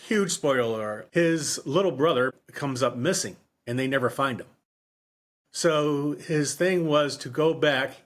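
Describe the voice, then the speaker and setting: A man's voice: a little high, a bit bright. An American man co-hosting a podcast, discussing books casually in a rambling way and repeating his phrases. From Michigan and Texas, US. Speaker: book podcast co-host.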